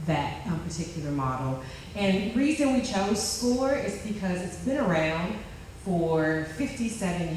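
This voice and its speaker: A woman with a loud voice presenting a project in a chatty way, restarting her sentences often. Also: fairly low pitch, slight background noise. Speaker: team presenter. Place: large echoey meeting room. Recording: echoey room from far off.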